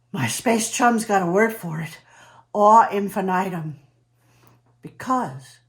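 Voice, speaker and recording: A woman playing an eccentric, awestruck character in a theatrical comic monologue, telling her story with dramatic emphasis and pauses. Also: a little high, fairly bright tone. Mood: awestruck wonder. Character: eccentric, awestruck woman named Trudy who chums with space aliens.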